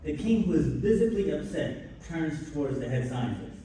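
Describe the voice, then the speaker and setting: A man's voice: a little high, sing-song, moderate pace, warm tone. A man reading scripted scene narration for a live radio play. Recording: echoey noisy convention hall, distant mic.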